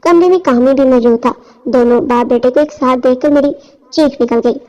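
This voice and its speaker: A woman's voice: sits high, moderate pace, dark tone. A woman reading a scripted first-person story as its narrator. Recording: dull, lo-fi audio.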